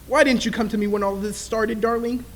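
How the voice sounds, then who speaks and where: A suspicious radio-play husband acted by a man with a high voice. He is indignant, demanding and blustering, yet protective. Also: steady tone, a little fast, fairly bright tone. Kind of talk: old-time radio play acting.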